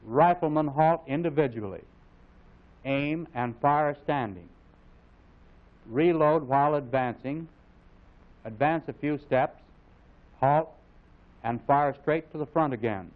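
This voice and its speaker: An American man reading scripted military instructional narration in a formal, authoritative, measured way, as on a mid-century training film. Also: fairly high pitch. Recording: phone-like vintage film soundtrack, narrow band.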